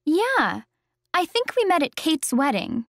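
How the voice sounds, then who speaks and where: Friendly woman, played by an actress for language-course dialogue: high voice, very animated, dry studio sound. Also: a little slow, no echo, exaggerated voice. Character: friendly woman chatting with an acquaintance. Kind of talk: scripted textbook dialogue.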